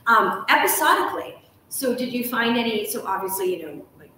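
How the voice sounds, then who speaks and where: A woman's voice: a little high, lively intonation, fairly quick, sharp tone. Casting: enthusiastic female interviewer, casual and wordy, heard away from the mic with strong room echo. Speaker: interview host. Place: hotel lounge with armchairs. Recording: echoey room, far from mic, noisy.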